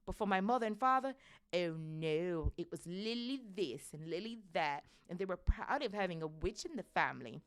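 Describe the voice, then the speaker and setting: A woman's voice: on the low side, big pitch swings, moderate pace. A woman voicing a bitter, resentful middle-aged aunt in a storybook, ranting with scorn. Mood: shrill, resentful.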